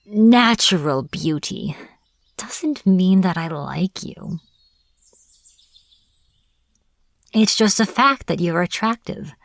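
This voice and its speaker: A woman playing a smug, teasing witch in an audio roleplay, taunting a captive in a playful, deliberate manner. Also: mid-range voice, no room sound. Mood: flustered, defensive. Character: smug teasing young-sounding witch, captor of a hunter. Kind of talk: audio roleplay, acted monologue to the listener.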